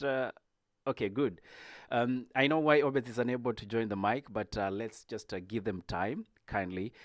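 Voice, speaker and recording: A man hosting a political discussion, speaking in a measured way with frequent fillers. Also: mid-range voice, no room sound, studio quality. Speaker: host.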